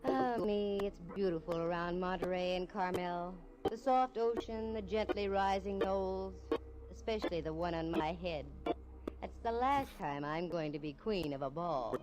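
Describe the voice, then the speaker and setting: A woman's voice: on the low side, normal speed, natural voice. A woman playing a wisecracking private detective, narrating in breezy, slangy 1950s radio-drama style. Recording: noisy old radio recording.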